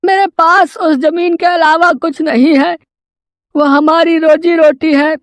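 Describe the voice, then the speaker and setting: A woman's voice: very high-pitched, fairly even intonation, dry recording, voice pushed up. A woman voicing an anxious poor farmer, a pleading father in a cartoon moral story. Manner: pleading, worried, drawn-out.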